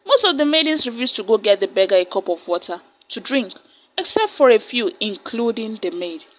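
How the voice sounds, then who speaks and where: A woman narrating a folktale in a steady, plain storytelling manner. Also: fairly high pitch, a little fast. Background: soft music.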